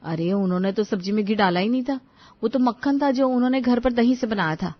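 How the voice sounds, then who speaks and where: Scheming young daughter-in-law for a cartoon family tale, played by a female narrator, high voice, dry recording. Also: a little fast, dry recording, highs cut off, lightly acted. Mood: polite, puzzled. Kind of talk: cartoon story dialogue.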